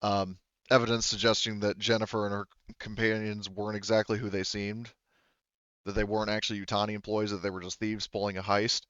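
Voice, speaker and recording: A man with a low, smooth voice runs a tabletop game in a casual way. He often rephrases as he goes. The close recording is a little dull. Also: level tone, brisk, a bit bright, no room sound. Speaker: game master. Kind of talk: casual game-running talk.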